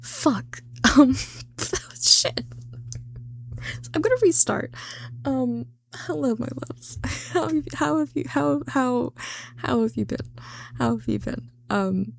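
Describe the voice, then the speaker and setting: A woman ASMR creator in a casual, unscripted chat, flustered and self-deprecating, often dropping into soft, breathy tones. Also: high voice, expressive, slow pace, long pauses, no room sound.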